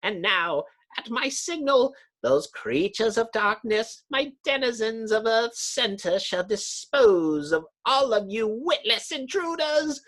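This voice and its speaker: A man's voice: extremely high pitch, lively intonation, slow pace, few pauses, a bit bright, pitched above the performer's own voice, full character voice. A man voicing a mad, boastful mole-like comic-book villain in a grandiose, taunting, theatrical manner. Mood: menacing triumph. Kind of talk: dramatic comic book reading.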